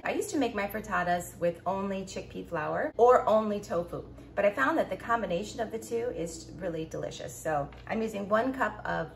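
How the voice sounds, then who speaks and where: A woman's voice: mid-range voice, a bit bright, a little hiss or hum. A woman in her forties hosting a casual cooking vlog, chatty and enthusiastic, filmed in her home kitchen on a close phone camera. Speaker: vlogger and nutrition coach.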